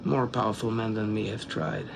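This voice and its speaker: Vengeful, coldly intellectual film villain, hushed and deliberate, creaky low register, very noisy track. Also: male voice, lively intonation. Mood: grieving, bitter. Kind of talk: quiet film monologue.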